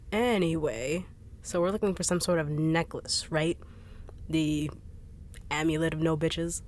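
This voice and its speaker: A woman voicing a blunt, sarcastic female bodyguard on a treasure hunt, in an audio roleplay drama. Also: deep voice. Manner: fast-talking, no-nonsense, dry and teasing.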